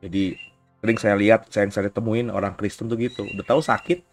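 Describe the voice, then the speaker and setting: A man's voice: on the low side, fairly even intonation, quick pace. A male pastor speaks. He preaches conversationally, full of small tags and asides, and is getting over a cough. Faint music plays underneath his voice. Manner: informal, frequent "ya" tags and asides. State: recovering from a cough. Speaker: pastor giving a recorded sermon. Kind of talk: conversational sermon.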